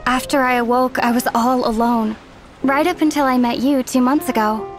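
A woman voicing a calm, resolute young traveler narrating a game cutscene in a measured, wistful way. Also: sits high, over loud music, close to an everyday voice. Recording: long hall reverb.

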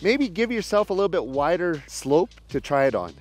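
A man's voice: a little high, very animated, moderate pace. A man giving step-by-step ski instruction outdoors, explaining a demonstration to camera. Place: outdoors on an open snowy mountain ski slope.

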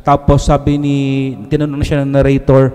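A middle-aged priest with a Filipino accent, giving a conversational homily at a lectern microphone and mixing Tagalog with English. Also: male voice, mid-range voice, monotone, fast, dark tone, clear, resonant tone. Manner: switches between Tagalog and English, conversational preaching. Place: church sanctuary, at a lectern. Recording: long church reverb.

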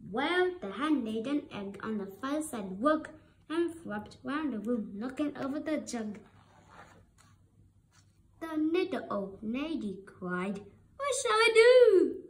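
High, slow-reading woman storyteller. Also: slight background noise. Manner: expressive, deliberate phrasing. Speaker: storybook reader. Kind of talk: children's story read-aloud.

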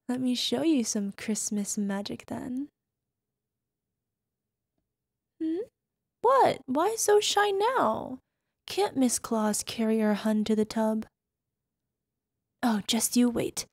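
Woman acting as playful affectionate wife, ASMR roleplay, flirty, breathy asides, touch of fry, close dry sound. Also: a little high, animated, takes it slowly, darkish tone, no room sound, heavily acted. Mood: mock-indignant, teasing. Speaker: voice actor.

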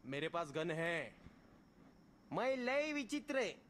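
Swaggering Mumbai street gamer. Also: male voice, sits high, sing-song, takes it slowly, a bit bright, slight background noise, heavily acted. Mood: brash, urgent. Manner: swaggering, exaggerated slang delivery. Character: brash street-smart Mumbai gamer shouting battle callouts. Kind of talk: game voice pack one-liners.

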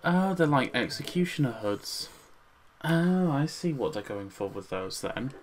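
A man's voice: mid-range voice, lively intonation, a little slow, slight background noise. A young man making dry, crude one-liner jokes as a co-host beside the player. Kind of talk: casual banter.

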